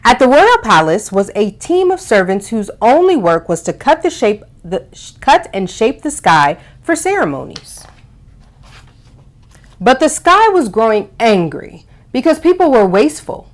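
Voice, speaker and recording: Expressive woman hosting children's storytime. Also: medium pitch, normal speed. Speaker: host of a children's storytime show. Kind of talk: read-aloud storytelling and explaining for young children.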